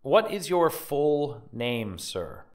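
Animated actor as male prosecutor, slightly distant. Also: a little high, full character voice. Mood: formal, probing. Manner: formal, inquisitive, measured. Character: formal, probing male 1890s prosecuting lawyer. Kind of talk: dramatic reading of trial transcript.

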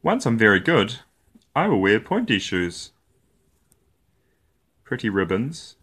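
A man with a fairly low voice reads a storybook to little kids. He takes his time over each short phrase, and his pitch moves around in a lively, playful way. The delivery is that of an animated storyteller. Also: slow pace, husky. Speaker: storyteller reading children's picture books. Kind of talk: animated read-aloud storytelling for young children.

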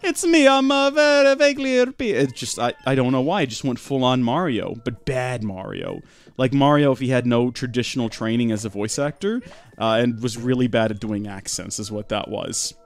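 Animated rambling man streaming a game. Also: mid-range voice, moderate pace. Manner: rambling deadpan joking, animated. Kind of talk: live gameplay commentary.

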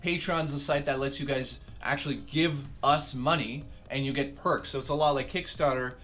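A young man with a hoarse, failing voice and a postnasal cough, co-hosting a casual channel update in a small poster-covered room, blunt and joking. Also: medium pitch, fairly even intonation, normal speed, a bit bright, faint music behind. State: hoarse, losing his voice. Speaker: co-host.

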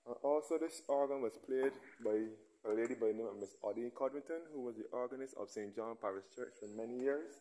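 A man at a middling pitch walks and talks, pointing out sights in a relaxed, easygoing way. He is recorded on a handheld camera, first outdoors in a churchyard and then inside a large church. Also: fairly quick, few pauses, low-quality recording. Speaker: travel vlogger giving a walking tour. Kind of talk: casual guided walking tour.

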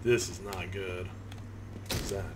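A man's voice: low-pitched, fairly even intonation, a little slow. A man giving casual, profane, reactive commentary on a video game he plays, talking to himself. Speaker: gamer recording a playthrough. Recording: clear background noise, game ambience.

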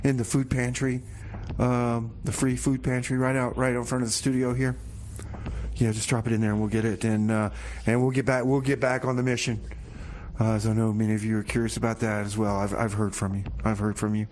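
A man's voice: medium pitch, level tone, fairly quick, a little mellow, a little hiss or hum. A man hosting a casual comedic radio show in a folksy, rambling way, often repeating himself. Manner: folksy, rambling, hesitant repetitions.

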